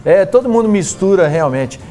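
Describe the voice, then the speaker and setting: A man's voice: high voice, animated, normal speed, over loud music. A middle-aged man with a Brazilian accent, a TV co-host chatting at a studio desk and often seeking agreement. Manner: asks for agreement, "não é verdade?". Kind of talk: casual studio chat.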